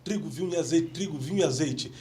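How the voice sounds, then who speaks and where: A man's voice: on the high side, fast talker, darkish tone. A man from Brazil hosting a podcast, asking a pastor short, respectful questions. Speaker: podcast host. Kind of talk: interviewing.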